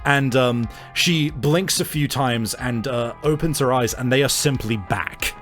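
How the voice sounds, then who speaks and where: A man's voice: medium pitch, unhurried, fairly bright tone, soft background music. A man narrating an improvised tabletop game as game master, feeling out his phrasing in hesitant pieces.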